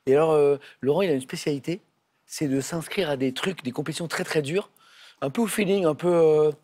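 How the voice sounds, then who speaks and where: Husky, slightly hoarse male quiz host in a TV studio, chatty and teasing, full of fillers. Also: fairly high pitch, a little fast, darkish tone. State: voice a bit hoarse. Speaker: game show host. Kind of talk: friendly candidate introductions. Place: television studio.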